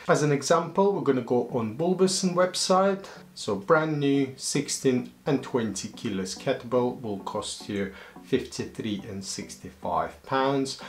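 Man giving practical home-gym tips. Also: medium pitch. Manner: plain, practical recommendations with non-native grammar. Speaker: fitness vlogger. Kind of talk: casual instructional talk to camera. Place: home room and garden.

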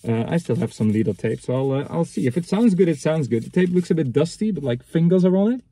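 A man in his thirties with a mellow, somewhat husky voice talks casually inside a car. He sounds matter-of-fact and halting, thinking aloud as he comments on things in his hands. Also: fairly high pitch, animated, dry recording. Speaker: vlogger reviewing secondhand reel-to-reel tapes. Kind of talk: casual unscripted chat, commenting on items as he handles them.

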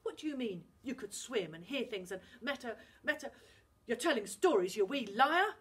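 A woman reading a story aloud, voicing a stern father who scolds loudly. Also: a little high, lively intonation, normal speed, husky, pitched above the performer's own voice. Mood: angry. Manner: loud scolding. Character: gruff Scottish fisherman father, stern.

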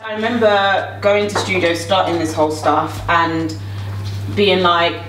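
A young woman chats playfully in a home kitchen, talkative and teasing. Her voice sits a little low and reaches the mic from a few steps away. The room around her rings with echo. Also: steady tone, a little slow, distant mic, music in the background. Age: young adult. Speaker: singer hosting a cooking video. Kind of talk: casual chat while cooking.

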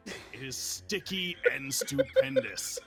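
Slow-paced, lively-pitched man. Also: faint music behind. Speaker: guest player. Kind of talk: casual chat, hesitant answering.

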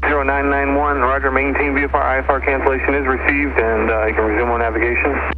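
Male air traffic controller, mid-pitched, phone-like radio sound, procedural phraseology. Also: flat delivery, fast talker. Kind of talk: radio instructions in aviation phraseology. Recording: narrow radio band, very loud noise.

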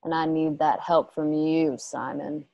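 An actress plays a vengeful young woman warrior in a radio drama. She has a slow drawl and a bitter, dangerous edge. The sound is dry. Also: low voice, little pitch movement, creaky, dark tone, no room sound, lo-fi sound. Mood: subdued, bitter. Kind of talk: radio drama acting over video call.

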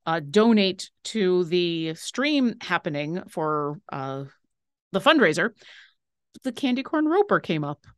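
Young woman cohosting a paint stream, jokey and playful, dry close mic, reads chat out loud. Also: fairly low pitch, very animated, slow pace, no echo. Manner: playful, jokey, reads viewer questions aloud. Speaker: co-host learning to paint miniatures. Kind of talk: casual livestream chat. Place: indoor home room.

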